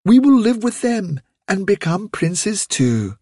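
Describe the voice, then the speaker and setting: A man voicing a gentle-lilting mother rabbit telling a fairy tale to her young in a storybook. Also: sits high, very animated, takes it slowly, slightly rough, no echo, clean studio sound, pitched above the performer's own voice, exaggerated voice. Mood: rapt, storytelling.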